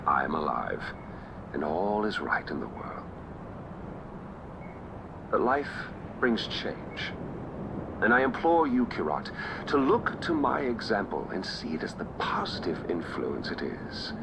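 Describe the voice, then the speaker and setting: An actor playing a flamboyant, sardonic, self-assured tyrant king in a video game, with mock-polite phrasing and deliberate pauses. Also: lowish voice, very animated, vocal fry, background noise, exaggerated voice. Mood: grandly composed. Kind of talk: video game cutscene acting.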